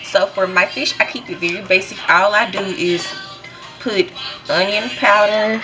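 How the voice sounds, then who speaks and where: Young adult female vlogger, Southern US accent, medium pitch, informal talk straight to viewers. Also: fairly even intonation, normal speed, over music, rough recording. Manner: informal, conversational, addressing viewers as y'all. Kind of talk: casual vlog chat to viewers.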